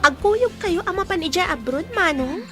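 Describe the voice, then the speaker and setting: An actress playing a sweet young woman in a radio drama, speaking gently and earnestly. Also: very high voice, expressive, normal speed, music in the background. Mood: concerned.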